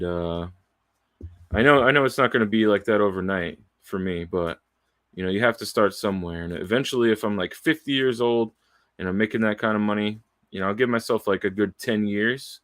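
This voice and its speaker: A man's voice: on the low side, dry recording. Candid, profane man in his thirties. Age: 30s. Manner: candid, profane, wandering, with restarts and long trailing pauses. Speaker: aspiring voiceover artist. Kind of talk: casual rambling monologue. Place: home, at his own microphone. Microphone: studio microphone, close and dry.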